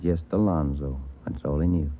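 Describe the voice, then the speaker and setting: A man's voice: rumbling low, moderate pace. An actor playing a casual, matter-of-fact male police sergeant and partner, in 1940s radio acting. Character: easygoing male police detective. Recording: tinny old radio broadcast.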